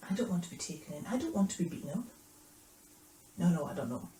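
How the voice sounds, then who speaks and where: A woman's voice: on the low side, very animated, fast, mellow, roomy sound, a little hiss or hum, rough recording. Woman acting out a flustered, emphatic male disciple. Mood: panicked denial. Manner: emphatic, repetitive denials. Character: Peter, impulsive, frightened, blustering male disciple.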